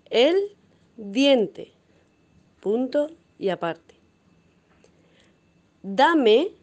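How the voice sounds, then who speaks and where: Woman doing a slow dictation, highish voice, swoops up and down a lot. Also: a little mellow. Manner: reads word by word, calling out punctuation. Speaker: dictation reader.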